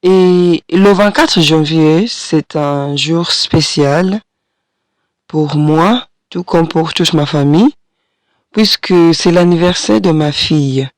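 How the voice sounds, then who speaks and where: A woman in her thirties hosting a solo podcast from a small studio, hoarse from a cold, speaking gently and encouragingly with hesitant pauses. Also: sits low, no room sound. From Kenya. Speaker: podcast host and French teacher. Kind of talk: solo podcast monologue addressing the listener. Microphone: studio microphone.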